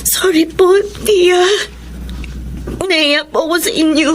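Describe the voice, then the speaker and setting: A woman's voice: very high voice, slight background noise. An actress playing a distressed young mother in a radio drama, emotional and pleading. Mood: ashamed. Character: distressed young mother living with a drinking partner.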